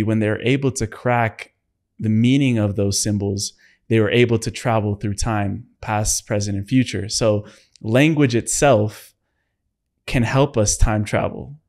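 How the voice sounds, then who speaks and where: Casting: young male podcast host, fairly low voice, relaxed and curious. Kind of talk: casual interview talk.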